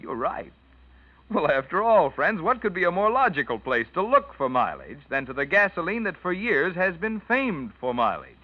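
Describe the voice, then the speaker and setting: A man's voice: medium pitch, no echo. A man announcing a 1940s radio commercial in a folksy, persuasive manner. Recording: dull, dry vintage radio recording.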